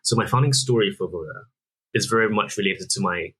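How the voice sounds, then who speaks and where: Guest, male, 30s, from Singapore; low husky voice, earnest explainer. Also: a little fast, a bit bright, rough recording. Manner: earnest, explanatory, with frequent restarts. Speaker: app founder guest. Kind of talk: conversational interview answers.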